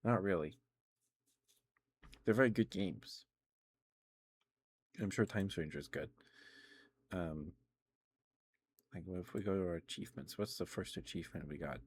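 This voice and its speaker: A man with a notably low-pitched voice hosts a casual ASMR livestream. He talks in a loose, meandering way, often circling back to correct himself. The delivery is informal gamer chat, unscripted and conversational. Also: measured pace, pauses often. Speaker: livestream host. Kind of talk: casual rambling ASMR stream chat about video games.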